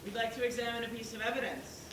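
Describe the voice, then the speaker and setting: A woman's voice: fairly high pitch, fairly even intonation, fast talker, close to an everyday voice. A woman playing a reasonable pig juror who argues and questions in a stage play. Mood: businesslike. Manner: questioning, argumentative. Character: reasonable pig juror, calmly doubting the wolf's guilt. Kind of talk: school stage play acting. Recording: roomy, distant, noisy stage recording.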